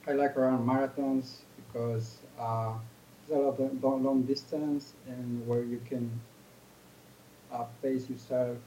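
A man from Ecuador with an Ecuadorian Spanish accent, a native Spanish speaker, answering as a podcast guest, earnest and halting. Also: mid-range voice, flat delivery, dark tone, slight background noise, poor audio. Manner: earnest, halting, searching for words. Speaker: guest runner. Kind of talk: podcast interview.

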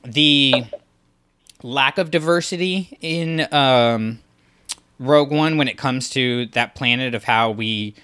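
Bright-voiced man co-hosting a podcast. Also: mid-range voice, slow pace, smooth. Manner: rambling, lots of fillers and restarts. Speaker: podcast co-host. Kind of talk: casual chat.

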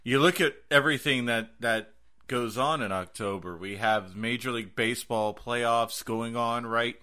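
Bright, forceful American man hosting a podcast. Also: medium pitch. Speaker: horror podcast host. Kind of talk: casual movie recommendation chat.

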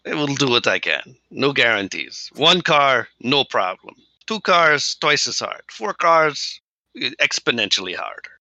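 A man doing a gravelly deadpan Russian hacker. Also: medium pitch, unhurried, no room sound, full character voice. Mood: businesslike. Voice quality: gravelly put-on Russian accent. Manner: deadpan, clipped broken English. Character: gruff Russian male hacker, dry and businesslike.

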